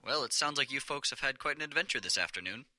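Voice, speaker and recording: An officious, stern male bureaucrat boss played by a man in an audio drama. His voice is very sharp and forceful, and he talks fast and curtly in a dry, close sound with cold condescension. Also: medium pitch, lively intonation, dry recording. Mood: smooth, officious.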